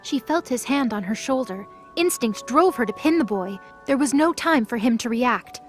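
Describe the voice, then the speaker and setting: A woman's voice: a little high, animated, moderate pace. A woman narrating a scripted audio drama as a storyteller. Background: music.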